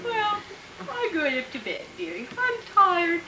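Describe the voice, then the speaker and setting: A theatrical old-radio comedy character, acted by a woman, with an extremely high voice. It sounds roomy, with clear noise behind it. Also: sing-song, a little slow, exaggerated voice. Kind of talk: old-time radio comedy acting on stage. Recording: roomy, noisy stage recording.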